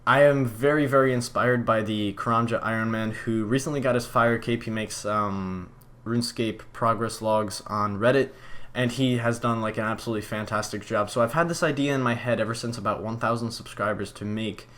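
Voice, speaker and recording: A young man from Poland answering viewer questions in a casual vlog, relaxed and rambling, with plenty of fillers and restarts. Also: fairly low pitch, a little fast. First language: Polish.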